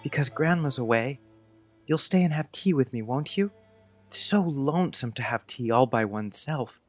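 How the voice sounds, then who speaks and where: A man's voice: mid-range voice, lively intonation, moderate pace, faint music behind, lightly acted. A man playing an earnest, polite, precocious young schoolboy in an audiobook, speaking in careful old-fashioned phrases. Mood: eager, confiding. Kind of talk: audiobook character acting.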